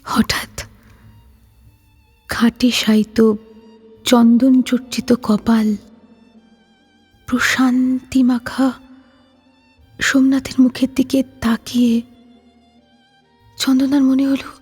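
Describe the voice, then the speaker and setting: A woman's voice: high-pitched, little pitch movement, slow, pauses often, darkish tone, slightly rough, long reverb tail, faint music behind. A woman narrating a scripted story dramatically, as presenter of an audio drama.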